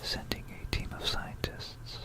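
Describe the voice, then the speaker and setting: An ASMR creator speaking in a soft, unvoiced whisper, mixing reading aloud with informal, musing asides, close to a stereo microphone. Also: unhurried. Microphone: close stereo binaural microphone.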